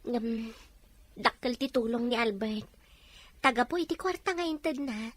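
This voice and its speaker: A woman's voice: on the high side, lively intonation, measured pace, a bit bright. A woman acting an earnest, wistful young mother confiding in a friend, with a Filipino accent, in a melodrama.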